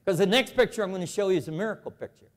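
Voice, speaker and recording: Seventies guy, folksy and emphatic, speaking in a big reverby hall, fairly high voice. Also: racing. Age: 70s. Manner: animated, folksy, emphatic repetition. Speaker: convention speaker. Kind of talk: lively storytelling speech to a church audience. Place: large convention hall.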